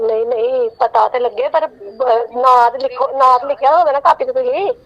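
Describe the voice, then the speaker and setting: A woman with a Punjabi accent argues on the phone in a very high voice. She protests and questions the caller in an unscripted, conversational way. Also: normal speed, highs cut off. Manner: argumentative, protesting. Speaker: prank call recipient.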